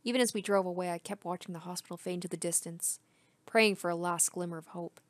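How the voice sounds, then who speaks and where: A woman's voice: on the low side, fairly even intonation, fast, dry recording. A woman reading a first-person story aloud as a dramatic narration. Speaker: gaming video host.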